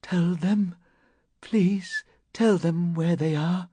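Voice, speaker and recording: A man's voice: mid-range voice, sing-song, normal speed, husky, dry recording. A man voicing a frail, haunted woman medium in an audiobook, pleading and trembling. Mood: faint, haunted.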